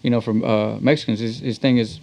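A man's voice: medium pitch, fairly even intonation, brisk, gravelly, a little mellow. A man chatting casually and in slang as an interview guest, a musician by trade. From Texas. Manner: slangy, conversational. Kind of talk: casual chat.

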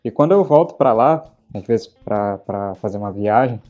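A man's voice: fairly low pitch, expressive, normal speed, mellow, dull audio, faint music behind. A man from Belém with a Belém accent, hosting a casual podcast chat and telling stories with lots of fillers. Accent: Belém Paraense Portuguese. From Belém, Brazil. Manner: chatty storyteller, many fillers. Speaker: podcast host.

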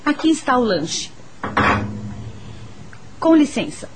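A high-voiced polite maid. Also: female voice, sing-song, slow, exaggerated voice. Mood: polite, deferential. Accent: Brazilian. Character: Sônia, polite female maid serving tea. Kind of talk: radio drama acting. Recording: clear noise with ticking and clicks.

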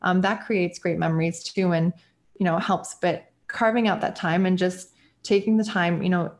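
A woman's voice: lowish voice, moderate pace. Chatty woman in her forties. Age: 40s. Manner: animated, rambling with many fillers and laughs. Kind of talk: casual conversation.

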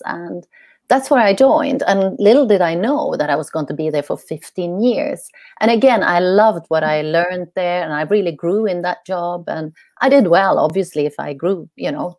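A woman's voice: lowish voice, a little mellow, no echo. Earnest middle-aged woman from Sweden. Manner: earnest, searching for words, many "you know"s. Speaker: guest, coach. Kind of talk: telling her life story in an interview.

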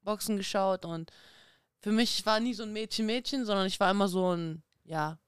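A woman in her thirties, a former boxing champion guest, talking in a lively, chatty way with many fillers in a casual podcast interview. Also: medium pitch, moderate pace, clean tone, no room sound, clean studio sound. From Kazakhstan, raised in Germany.

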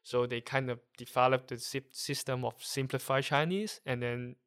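Smooth-voiced man with a Hong Kong accent. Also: mid-range voice, steady tone, fairly bright tone. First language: Cantonese. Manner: halting, searching for words while explaining. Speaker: guest. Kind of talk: casual conversation.

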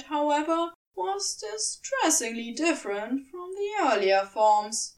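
A woman narrating scripted fiction in a measured, expressive storytelling manner. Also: fairly high pitch, recorded from a distance, poor audio. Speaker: audiobook narrator.

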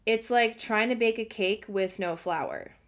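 A young adult woman hosting a self-help podcast, earnest and encouraging, her voice slightly hoarse from a cold. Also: mid-range voice, a little slow. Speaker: podcast host.

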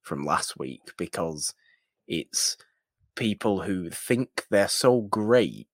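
A man's voice: deep voice, lively intonation, slow, no room sound, clean studio sound. A man co-hosting a podcast, casually discussing film in an emphatic way, repeating phrases and throwing out rhetorical questions. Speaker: podcast co-host.

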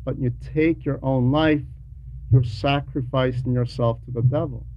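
A man giving a homily to a class in a deliberate, didactic way, pausing between short phrases and repeating words for emphasis, on a dull, lo-fi recording. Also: mid-range voice, steady tone. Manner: didactic, poses rhetorical questions. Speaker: religious teacher reading a translated homily to a class. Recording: dull lo-fi recording with faint noise.

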